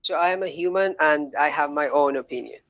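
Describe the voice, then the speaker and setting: Insistent fast-talking male caller. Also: a little high, fairly bright tone, no echo, highs cut off. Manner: insistent, defensive. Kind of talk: heated call-in debate.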